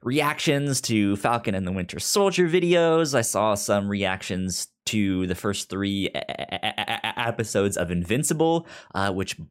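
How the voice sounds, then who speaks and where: A man's voice: lowish voice, very animated, unhurried, few pauses, smooth. A man hosting a casual TV review podcast, who often stammers and repeats the first sounds of words. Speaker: podcast host.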